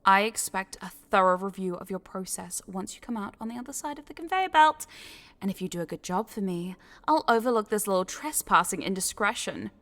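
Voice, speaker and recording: A woman plays a scheming female corporate boss in an intimate audio roleplay, speaking straight to the listener at a medium pitch. She is smug and controlling, with a businesslike tone that turns teasing and condescending as she coaxes. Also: lively intonation, brisk, fairly bright tone. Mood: amused, commanding. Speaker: voice actor.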